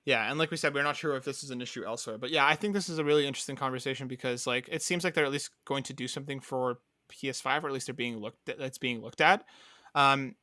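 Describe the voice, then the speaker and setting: A man's voice: mid-range voice, quick pace, bright, forceful tone, dry recording, studio quality. A man hosting a podcast, talking casually and inquisitively, often checking that others follow him. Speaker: podcast host. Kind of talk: casual discussion, posing questions.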